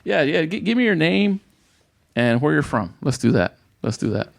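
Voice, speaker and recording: A man's voice: a little high, very animated, normal speed, vocal fry. An American man, a youth football coach, hosting a casual podcast with upbeat, repetitive chatter. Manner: upbeat, repeats himself, says outstanding a lot.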